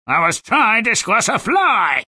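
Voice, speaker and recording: A man voicing a brutish, mean, lawless father in a fairy-tale video game, with a harsh gravelly voice. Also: high-pitched, wildly swooping pitch, a little slow, pushed and bright, no room sound, heavily acted. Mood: angry, menacing. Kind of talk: video game character acting.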